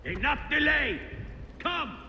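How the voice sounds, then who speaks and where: An actor playing a cold, impatient villain leader in a dramatic game cutscene. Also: male voice, very high-pitched, big pitch swings, takes it slowly, bright, forceful tone, dull audio, slight background noise, full character voice. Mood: impatient, commanding. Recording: echoey hall, music behind.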